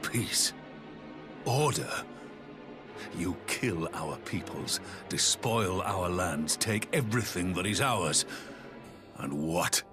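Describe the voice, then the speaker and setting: A very deep-voiced, fierce rebel chieftain in a video game. He speaks slowly and scornfully in emphatic bursts, with music clearly behind him. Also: lively intonation. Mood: bitter outrage. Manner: scornful, emphatic, clipped phrases. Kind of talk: dramatic scripted game cutscene dialogue.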